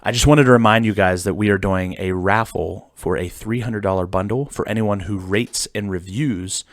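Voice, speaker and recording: Low-voiced podcast host guy, upbeat and chatty, lots of ums. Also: moderate pace, dry recording. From central Pennsylvania. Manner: upbeat, friendly, chatty with many fillers. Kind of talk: casual podcast chat. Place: studio.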